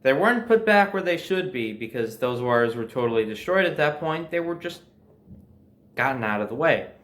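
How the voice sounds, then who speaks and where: A man's voice: medium pitch, lively intonation, normal speed, a bit bright. Casual, emphatic man telling a personal story. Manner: informal, profanity-laced, emphatic. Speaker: storyteller host.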